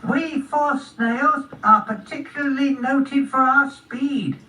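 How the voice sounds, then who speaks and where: A woman's voice: sits high, sharp tone, pitched above the performer's own voice, full character voice. A woman voicing a polite, proud little snail in a children's story, drawing out the words courteously. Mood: polite, deliberate. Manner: drawn-out, courteous. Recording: roomy, distant, faintly noisy recording.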